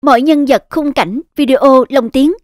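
A woman reading a scripted voice-over dub for an animated series. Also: high voice, a little slow.

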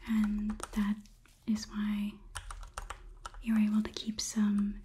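A woman in her twenties performing a whispered ASMR roleplay as a politely detached robot examiner, murmuring in a soft, unhurried, clinical manner. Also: medium pitch, monotone, a little hiss or hum. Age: 20s. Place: small indoor studio set. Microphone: close microphone, on camera.

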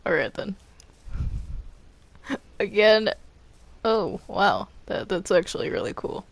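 Slow, creaky-voiced woman gaming. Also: medium pitch, sing-song. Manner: hesitant, stammering, repeats words. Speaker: gamer in a group roleplay. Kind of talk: casual improvised gameplay chat.

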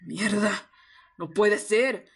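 A woman's voice: mid-range voice, extremely slow, slightly rough, heavily acted. A woman playing an emotional, confrontational young man protecting his girlfriend in an amateur roleplay drama. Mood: outraged.

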